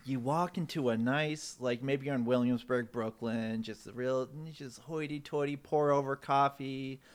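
A man's voice: mid-range voice, steady tone, unhurried, clean tone. A man co-hosting a podcast, rambling through casual comedic riffs in a theatrical way. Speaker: podcast co-host.

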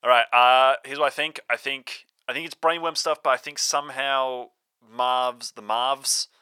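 A man's voice: mid-range voice, fairly even intonation, normal speed, pushed and bright, smooth, no echo. A man playing in an improvised audio drama, talking casually and stumbling a little as he makes up his part. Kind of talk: casual improvised role-play chat.

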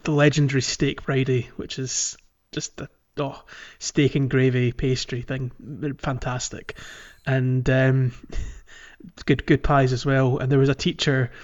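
A man's voice: mid-range voice, little pitch movement, measured pace. A British man co-hosting a podcast, chatting casually about games in a loose, rambling way. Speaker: podcast host. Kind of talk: casual game discussion and chat. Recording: slightly dull, lo-fi audio.

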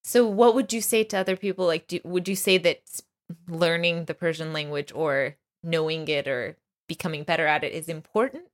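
A woman's voice: sits low, fairly even intonation, brisk. A woman hosting a casual interview, asking questions in a friendly way with some fillers. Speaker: interview host.

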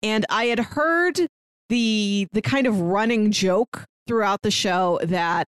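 A woman's voice: medium pitch, takes it slowly, clear, resonant tone, no room sound, studio quality. A woman co-hosting a podcast, chatting casually about a TV show, often laughing between phrases. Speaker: podcast co-host. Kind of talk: casual chat reviewing a TV show.

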